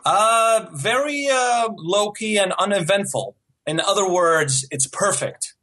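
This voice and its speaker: A man's voice: high voice, animated, takes it slowly, bright, forceful tone. A man from Syria whose first language is Arabic, a guest in a casual interview who is modest, laughs often and restarts his sentences. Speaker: guest animator.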